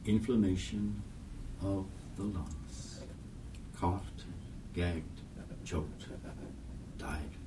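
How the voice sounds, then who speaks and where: A man reading a literary poem aloud with deliberate, spare phrasing. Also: low voice, far from the mic, background noise. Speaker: poetry reader.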